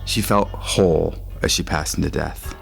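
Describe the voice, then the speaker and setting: Low-voiced man narrating over guitar music. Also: normal speed, vocal fry. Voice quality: low-pitched. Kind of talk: scripted literary audio fiction narration. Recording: music under the voice.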